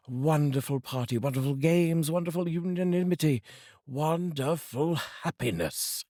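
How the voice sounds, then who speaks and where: A man from the United Kingdom giving a theatrical, expressive reading of a classic story as narrator. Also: mid-range voice, slightly rough, no room sound, studio quality. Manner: theatrical and expressive, savouring phrases. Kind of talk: dramatic audiobook reading.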